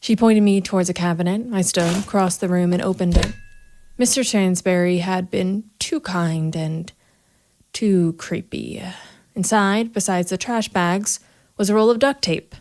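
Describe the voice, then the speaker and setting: A woman's voice: on the low side, unhurried, a little mellow, long reverb tail, slight background noise, close to an everyday voice. An actress playing a cold, methodical female private investigator and vigilante, narrating a noir audio drama in a hard-edged, matter-of-fact way. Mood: grim, controlled. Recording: music bed behind the voice.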